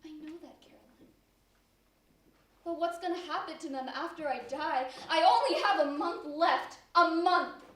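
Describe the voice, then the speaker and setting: A female performer playing a bitter, gravely ill mother, plaintive and emotional, in an amateur stage play. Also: very high-pitched, normal speed. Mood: anguished, grieving. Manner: plaintive, emotional, fading to hushed. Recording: echoey stage, distant mic.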